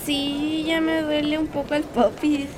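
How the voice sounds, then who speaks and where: A woman's voice: sits high, level tone, a little slow, over music. An actress playing a whiny, curious little Chilean girl in a radio drama. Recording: clear background noise.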